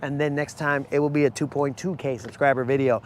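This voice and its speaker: A man hosting a live fishing stream from a boat, talking casually with viewers in a hoarse voice. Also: mid-range voice, steady tone, brisk, slight background noise. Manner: casual, slangy, calls viewers bro. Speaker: fishing channel host. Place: outdoors on a boat on a lake.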